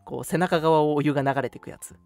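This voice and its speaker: A young man co-hosting a radio show, chatting casually in an animated, talkative way. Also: fairly high pitch, a little fast, gravelly, no room sound, faint music behind. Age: young adult. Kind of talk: casual chat.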